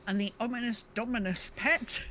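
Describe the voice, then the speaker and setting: A woman's voice: a little high, a bit bright. A woman co-hosting a podcast, chatting casually and reading out listener updates, with slight noise behind her. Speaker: podcast co-host. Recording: faint background noise.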